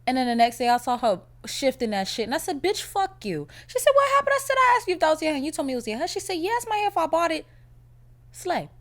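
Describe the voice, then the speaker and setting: A young woman hosting her own podcast, chatting casually in a blunt, profane, animated way, her voice a little raspy from a cold. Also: sits high, fast. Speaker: podcast host. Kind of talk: casual solo podcast chat.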